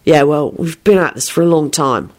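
A woman voicing a patient, protective but nervous male character, speaking in a measured way in a dramatized storybook reading. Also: deep voice, darkish tone.

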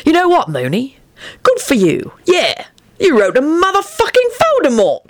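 Woman acting cocky young man, hot-headed, vain, loud, lively swooping delivery, storybook character. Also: sits high, moderate pace, no echo, clean studio sound, pitched above the performer's own voice, exaggerated voice. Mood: gleeful, impressed.

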